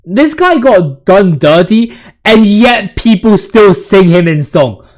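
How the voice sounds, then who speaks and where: A man's voice: high-pitched, lively intonation, slow pace, slight background noise. A man giving casual, opinionated gaming commentary as a video host, ranting in slang with emphatic repetition.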